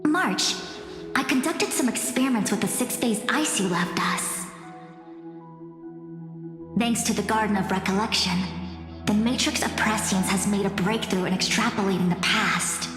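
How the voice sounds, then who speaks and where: A woman's voice: medium pitch, normal speed, long pauses, natural voice. An actress voicing a composed, dignified female master diviner in an anime game, formal and articulate. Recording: echoey long reverb, loud music.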